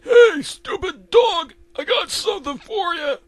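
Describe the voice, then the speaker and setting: A man's voice: very high-pitched, animated, measured pace, no room sound, pitched above the performer's own voice, heavily acted. A man voicing a cranky, abusive old cartoon farmer who bellows blame in whiny outrage. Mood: grumpy.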